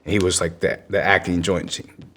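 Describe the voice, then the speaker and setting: A man's voice: sits low, little pitch movement, a little fast, a bit bright, a little hiss or hum. A man in his fifties, from Vietnam with Vietnamese as his first language, earnestly telling his life story in a podcast interview with frequent restarts. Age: 50s. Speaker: guest, congressional candidate.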